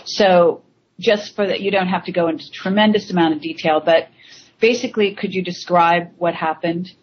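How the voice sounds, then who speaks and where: A woman hosting a radio interview, prompting her guest with halting questions. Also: lowish voice. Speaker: radio show host. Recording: muffled lo-fi line with faint noise.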